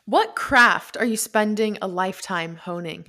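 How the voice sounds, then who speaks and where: A woman's voice: medium pitch, a little slow, bright, forceful tone. A woman hosting an interview, asking questions and giving short encouraging reactions. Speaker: podcast host.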